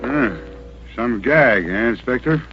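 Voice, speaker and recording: An American man playing a dry, gruff police sidekick in an old-time radio detective drama. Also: mid-range voice, lively intonation, takes it slowly, soft background music. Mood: dry, wry. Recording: dull noisy old radio recording.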